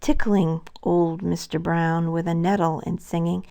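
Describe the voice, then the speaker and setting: Woman reading a children's story, creaky voice. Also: fairly low pitch, level tone, unhurried, mellow. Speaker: storybook reader. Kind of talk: scripted children's story narration.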